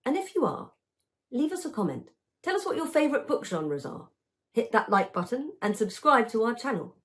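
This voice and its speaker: A woman's voice: a little high, sing-song, moderate pace. A woman presenter delivering a scripted outro for an online video channel. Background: music.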